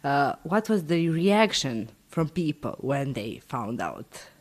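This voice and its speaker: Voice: medium pitch, very animated, slow. An interviewer reading questions with some fillers.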